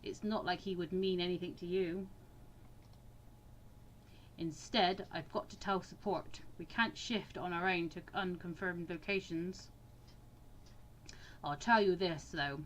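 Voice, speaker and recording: A woman voicing a gruff, dismissive older male mentor in a visual novel, speaking tersely and matter-of-factly. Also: on the low side, fairly even intonation, a little slow, pauses often, slight background noise, voice pushed down, lightly acted, low-quality recording.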